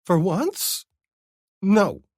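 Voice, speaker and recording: A male narrator voicing a sad, sharp-tongued young woman who answers with tearful but wry retorts. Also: high voice, sing-song, extremely slow, husky, dry recording, studio quality, voice pushed up, heavily acted. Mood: tart.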